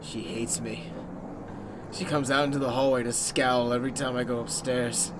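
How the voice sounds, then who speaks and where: A man's voice: mid-range voice, fairly even intonation, background noise, close to an everyday voice. A man as an anxious, self-pitying failed comedian. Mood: despairing. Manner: halting, wounded, defensive. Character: struggling young comedian husband, anxious, bitter and self-pitying. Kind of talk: radio drama acting.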